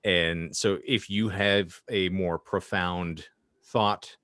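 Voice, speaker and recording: Male podcast host, deep voice, dry close sound, articulate and wry. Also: fairly even intonation, takes it slowly, fairly bright tone, no room sound. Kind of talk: podcast panel discussion.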